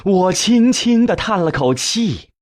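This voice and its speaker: A man narrating an audiobook in a scripted, dramatic storytelling style with measured, deliberate phrasing. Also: high-pitched, big pitch swings, no room sound, clean studio sound.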